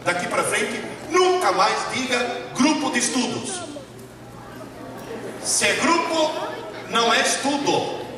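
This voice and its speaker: A man from Italy with an Italian accent, a professor lecturing emphatically to a live audience and putting rhetorical questions to them. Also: very high voice. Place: large lecture hall. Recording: echoey hall, distant mic, noisy.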